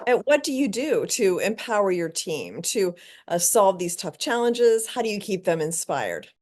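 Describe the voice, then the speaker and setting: A woman's voice: medium pitch, very animated, moderate pace, a little mellow. A woman hosting an interview, asking questions and summarizing her guest's points. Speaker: interview host.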